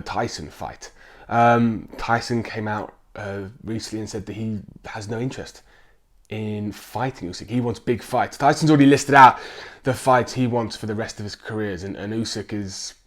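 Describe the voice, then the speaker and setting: A man with a croaky voice from a chest infection, chatting casually about upcoming fights and filling his talk with ums and restarts. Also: mid-range voice. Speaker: sports vlogger. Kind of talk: casual chat to camera.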